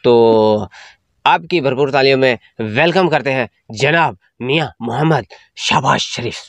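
A man's voice: mid-range voice, measured pace, creaky, no room sound. A young man hosting a spoof interview, teasing his guest in formal phrasing and laughing at his own jokes. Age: young adult. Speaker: comedy host. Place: small indoor studio with patterned backdrop.

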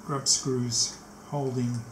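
A man's voice: mid-range voice, little pitch movement, fairly bright tone. Echoey workshop demonstration by an older man. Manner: step-by-step explaining, often pausing mid-task. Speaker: technician demonstrating a tape machine setup. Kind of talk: hands-on demonstration, unscripted walk-through. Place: small cluttered indoor workshop. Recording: echoey room, faint background noise.

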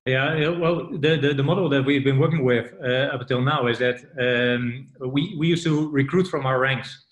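A man in his forties answers on a video panel from a room with large windows. His laptop mic picks up a roomy echo, and his pitch sits in the middle. He repeats words as he feels his way through each point. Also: normal speed, lo-fi sound. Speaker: panelist, franchise business owner. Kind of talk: panel discussion.

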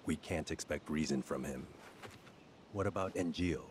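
Very deep, grave commanding officer. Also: male voice, a little hiss or hum. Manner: terse, measured, serious. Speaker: video game voice actor. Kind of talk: video game dialogue. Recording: game music under the dialogue.

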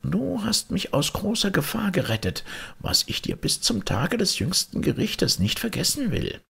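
A man voicing a grateful, crafty ghost from a fairy tale in a thin, breathy, plaintive voice. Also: high-pitched, wildly swooping pitch, normal speed, fairly bright tone, voice pushed up, heavily acted.

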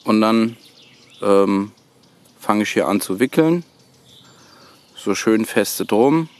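A man giving a hands-on how-to demonstration outdoors, in halting, hesitant phrases. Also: fairly low pitch, expressive. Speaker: host of a bushcraft tutorial channel. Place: outdoors on a woodland floor, birdsong behind.

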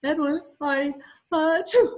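A woman's voice: sits high, takes it slowly. A middle-aged woman playing a plaintive, miserable wife with allergies in old-time radio comedy style. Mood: pleading. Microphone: video call, close to screen.

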